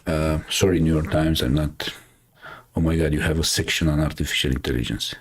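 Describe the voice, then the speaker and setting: A Romanian man with a very deep, husky voice hosts a live news chat. He speaks English as a second language, thinking aloud and stopping and restarting with plenty of fillers. His tone stays casual and conversational. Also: steady tone, a little fast. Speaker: host.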